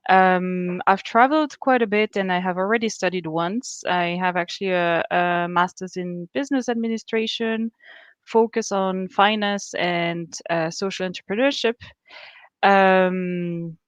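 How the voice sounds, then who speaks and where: A woman in her thirties from Austria, answering interview questions over a video call in a thoughtful way with frequent pauses and fillers. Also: fairly low pitch, clear, resonant tone, dry recording. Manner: thoughtful, many fillers and restarts. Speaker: student guest.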